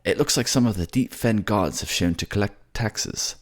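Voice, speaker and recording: A man's voice: on the low side, voice pushed up, lightly acted. A man playing an anxious, eloquent male mage narrating visions in a theatrical fantasy audio drama. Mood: uneasy. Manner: theatrical, halting as visions unfold.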